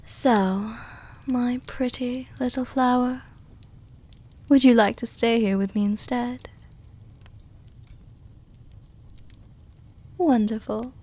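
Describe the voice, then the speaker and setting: Woman acting a slow, seductive vampire countess. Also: fairly high pitch, darkish tone. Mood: pleased, tender. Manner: slow, intimate, formal diction with breathy whispers. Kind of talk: soft-dom roleplay ASMR.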